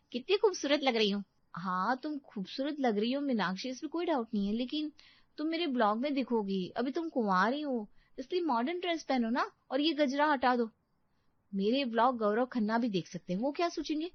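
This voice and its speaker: A woman's voice: sits high, no room sound. A woman voicing a chatty, ambitious young bride who vlogs to camera in a cartoon story. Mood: persuasive. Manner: bubbly, talking to camera. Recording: dull dry recording.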